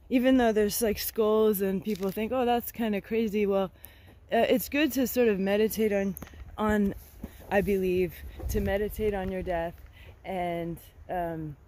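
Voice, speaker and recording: A woman's voice: mid-range voice, dark tone. A middle-aged woman with an American accent gives a casual, meandering garden tour, speaking hesitantly into a handheld phone outdoors. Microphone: handheld phone at arm's length. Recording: clear outdoor background noise.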